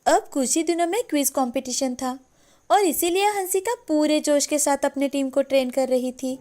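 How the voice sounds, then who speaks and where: High-pitched woman narrating children's stories. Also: moderate pace, warm tone. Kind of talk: scripted children's story narration.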